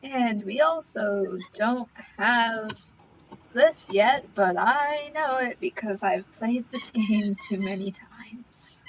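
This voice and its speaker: Woman, game streamer, slightly high voice, playful self-talk, casual commentary, faint background noise. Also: takes it slowly, poor audio.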